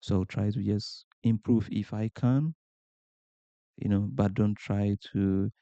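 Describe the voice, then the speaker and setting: A man with a Ghanaian accent gives earnest, motivational advice. His voice is low, very dark and gravelly, and he asks rhetorical questions and repeats his points for emphasis. The sound is dry and a little muffled. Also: fairly even intonation, slow pace, dry recording. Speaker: podcast host. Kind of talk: motivational talk to camera.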